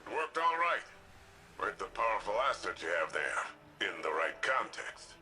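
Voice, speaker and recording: An actor playing a gruff, understated veteran warrior in a video game, with a dry manner. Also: male voice, sits low, sing-song, moderate pace, bright, forceful tone, slight background noise, full character voice. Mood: grudging.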